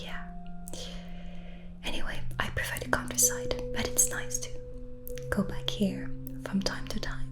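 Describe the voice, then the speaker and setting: A woman whispering in a hushed, gently hesitant way, playing a hospitable witch in an ASMR roleplay. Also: a little slow, pauses often. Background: background music.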